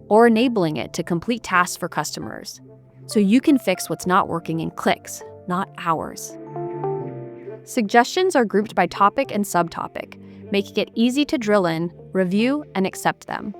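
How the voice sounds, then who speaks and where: A woman reading a scripted product walkthrough voice-over in a clear, even, explanatory way. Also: medium pitch. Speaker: product demo narrator.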